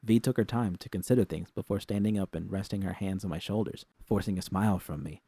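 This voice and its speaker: Low, mellow male story narrator. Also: quick pace, creaky, husky, dry recording. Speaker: audiobook narrator. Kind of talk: first-person scripted story narration.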